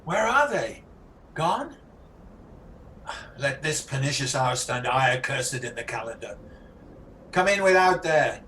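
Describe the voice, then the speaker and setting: A man's voice: mid-range voice, sing-song, a little slow, pauses often, pushed and bright. A man playing a haunted, ruthless tyrant king in an amateur Shakespearean radio play, over a noisy video call. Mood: agitated, horrified.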